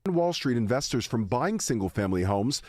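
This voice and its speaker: A man reading a broadcast news report in a measured, formal delivery. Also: mid-range voice, big pitch swings, darkish tone, no room sound. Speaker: news anchor.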